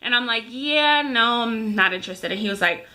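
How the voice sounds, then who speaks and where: Bright-voiced woman in her thirties, chatting candidly. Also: on the high side, expressive, moderate pace. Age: 30s. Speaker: vlogger. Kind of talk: casual ranting chat to viewers.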